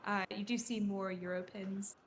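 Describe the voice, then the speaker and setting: Woman, mid-pitched voice, chatty expert color commentary, tournament noise behind. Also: little pitch movement, moderate pace. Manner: conversational, knowledgeable color commentary. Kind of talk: live table soccer commentary. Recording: live venue noise behind.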